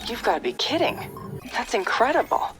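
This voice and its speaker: A woman's voice: fairly high pitch, very animated, normal speed. A woman playing a cool, wry female special-ops soldier in a video game, terse and focused. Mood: incredulous. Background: loud music.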